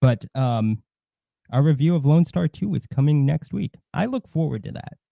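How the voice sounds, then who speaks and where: A man co-hosting a livestream with casual commentary and gossip, rambling and halting, often swearing. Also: on the high side, lively intonation, creaky, no echo, dull audio. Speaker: co-host of a livestream.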